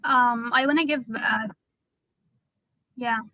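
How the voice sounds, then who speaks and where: A young woman who speaks English presents to her class. She explains step by step and sometimes pauses. Her voice is high and dry, with no room echo. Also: fairly even intonation, highs cut off. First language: Arabic. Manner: careful, explanatory, slightly hesitant. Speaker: student.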